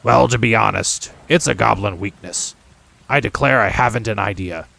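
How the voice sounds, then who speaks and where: Man voicing a rough, gruff goblin father. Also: moderate pace, pushed and bright, heavily acted. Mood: wry, fond. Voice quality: rough, deep. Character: gruff older male goblin father, bossy, unhurried.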